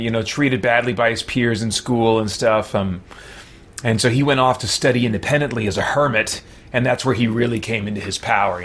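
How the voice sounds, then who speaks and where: Casting: male gaming streamer, mid-pitched, informal let's-play chat, musing aloud as he goes. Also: a bit bright.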